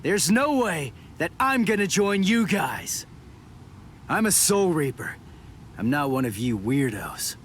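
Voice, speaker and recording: An actor in an English anime game dub playing a hot-headed, stubborn teenage Soul Reaper, brash and blunt. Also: male voice, a little high, very animated, slow, fairly bright tone, a little hiss or hum, exaggerated voice. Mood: defiant.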